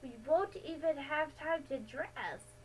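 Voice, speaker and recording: Woman voicing a high-pitched bossy boy detective. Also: animated, slow pace, slight background noise, rough recording. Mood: urgent. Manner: confident, commanding, explaining like a detective. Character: bossy, excitable boy detective in an adventure story.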